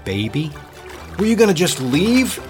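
Man voicing a smooth-talking man, pleading, loud, raised pitch. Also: big pitch swings, measured pace, faint music behind. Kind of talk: audiobook character voice.

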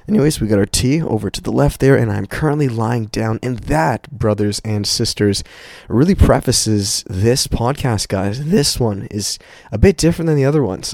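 A man hosting a casual motivational podcast, speaking informally and emphatically, his voice slightly raspy and prone to cracking as he gets over a cold. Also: mid-range voice, a little mellow, dry recording, studio quality. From Canada. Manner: informal, emphatic, addresses listeners as guys, with profanity. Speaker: podcast host.

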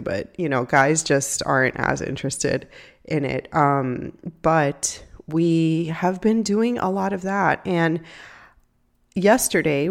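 A young woman hosting a solo podcast, congested from a cold, talking in a chatty, candid way with fillers. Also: low-pitched. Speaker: podcast host. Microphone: home podcast microphone.

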